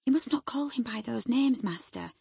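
Woman acting a firm, deferential housekeeper, slightly husky. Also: on the high side, lively intonation, moderate pace, darkish tone, no room sound, studio quality, pitched above the performer's own voice, heavily acted. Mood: gently scolding. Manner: respectful, cautioning. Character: deferential but firm female housekeeper servant. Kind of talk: audiobook character acting.

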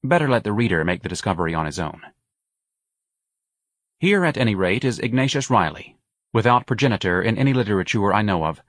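A man narrating a literary audiobook in a measured, articulate and expressive manner. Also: lowish voice, no echo, studio quality.

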